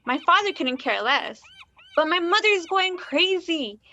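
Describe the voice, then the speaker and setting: A woman's voice: extremely high pitch, lively intonation, unhurried, pushed and bright, dry recording, muffled recording, heavily acted. A woman acting a naive, unsettled nineteen-year-old confiding in a stranger in a naturalistic play. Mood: exasperated. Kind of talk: naturalistic one-act play dialogue.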